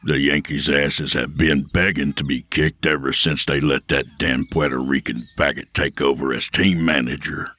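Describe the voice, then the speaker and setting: A man doing a gruff, domineering bully of a man who speaks slowly and with a threat under every word. The voice sits extremely low and sounds close and dry. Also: fairly even intonation, bright, forceful tone, no room sound, heavily acted. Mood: menacing.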